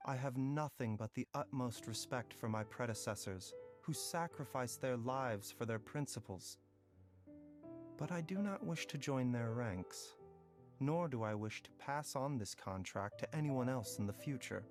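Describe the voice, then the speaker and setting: A man playing a gentle, philosophical young doctor in a video game, with measured, articulate and reflective delivery. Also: medium pitch, close to an everyday voice. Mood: solemn, reflective. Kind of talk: scripted video game voice acting. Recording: music playing behind the voice.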